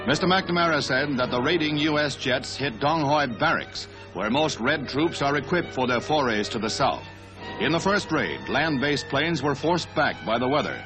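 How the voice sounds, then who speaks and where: An American man narrates in the manner of a 1960s newsreel. His delivery is formal, scripted and declamatory, at an average male pitch. Music plays clearly behind him throughout. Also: animated, normal speed, rough recording.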